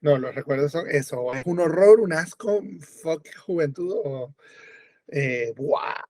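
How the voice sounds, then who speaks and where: A Venezuelan-born man chatting as a radio guest, animated and full of fillers and rephrasing. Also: fairly high pitch, unhurried, no room sound, clean studio sound. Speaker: guest, book editor. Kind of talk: casual radio conversation. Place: remote call or studio, lives in Europe.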